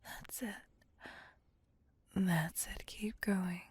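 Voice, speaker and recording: A woman playing a seductive, possessive vampire lover in an intimate ASMR roleplay, breathy and whispered, with teasing menace. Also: fairly low pitch, steady tone, darkish tone, dry recording, exaggerated voice. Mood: soothing, possessive. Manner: slow, teasing, theatrical purring menace.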